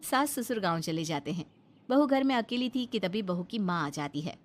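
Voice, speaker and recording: A woman's voice: medium pitch, expressive, moderate pace, faint music behind. A woman storyteller reading scripted narration for a family tale.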